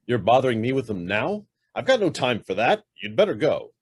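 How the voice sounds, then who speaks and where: A man acts out a middle-aged businessman in a radio drama. He is curt and demanding, interrogating with guarded suspicion. His pitch swings widely and his voice has a husky grain. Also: sing-song, fairly quick. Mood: suspicious, impatient. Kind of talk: stage-style radio drama acting.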